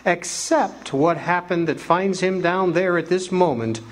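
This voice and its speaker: A man's voice: high voice, unhurried. A man playing a kindly senior angel who narrates the story in an amateur stage radio drama, in a folksy storytelling manner.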